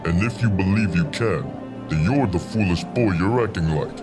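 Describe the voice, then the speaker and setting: A massive copper dragon, stern and wise, performed by a man. The voice is extremely deep and rumbling, with formal, measured phrasing, set over loud music in a fantasy audiobook. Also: close to an everyday voice. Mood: stern, scolding. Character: huge copper male dragon, stern, wise, loyal mentor.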